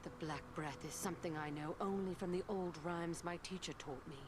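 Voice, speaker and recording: An actress playing a weary, learned healer woman in a fantasy game, speaking in measured, formal phrases with a slightly tart edge. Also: on the low side, noisy background, close to an everyday voice. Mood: grave, weary. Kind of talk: dramatic fantasy game acting.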